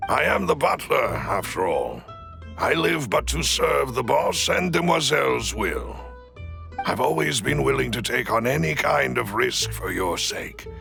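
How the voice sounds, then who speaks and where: An actor playing a grave, loyal butler in a video game, speaking formally and deferentially with a gravelly edge. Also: male voice, mid-range voice, very animated, faint music behind, full character voice. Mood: humble, measured. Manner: formal, deferential, measured explaining. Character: loyal, grave male butler and shrewd confidant. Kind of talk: dramatic video game cutscene acting.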